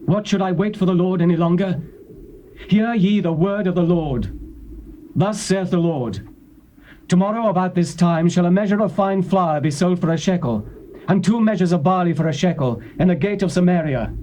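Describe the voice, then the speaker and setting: An actor playing a stern, commanding prophet who speaks in a solemn, declamatory way. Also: male voice, high voice, normal speed, mellow, lightly acted. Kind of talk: dramatized scripture reading. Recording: faint tape hiss.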